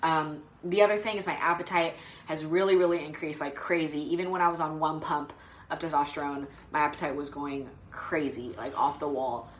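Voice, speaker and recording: A woman's voice: deep voice, steady tone, fairly quick, slight background noise. A young adult woman vlogging casually to the camera, rambling and hesitant with lots of fillers. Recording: roomy echo.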